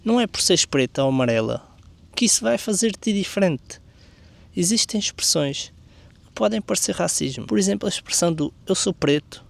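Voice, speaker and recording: A man's voice: high-pitched, expressive, normal speed, creaky. A man from Portugal with a European Portuguese accent, delivering an earnest opinion monologue full of rhetorical questions. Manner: earnest, argumentative. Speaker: commentary video creator.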